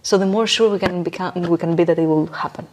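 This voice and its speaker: A woman's voice: fairly low pitch, fast, a little mellow, slight background noise, poor audio. A woman speaking as a podcast guest and coach in a conversational, motivational way, stressing key words emphatically.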